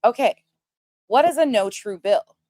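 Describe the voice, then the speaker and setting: A woman's voice: a little high, animated, measured pace, darkish tone, no room sound. Woman, American accent, online commentary host, emphatic, explanatory, repetitive for stress, dry close recording. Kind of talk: casual commentary, reading documents aloud.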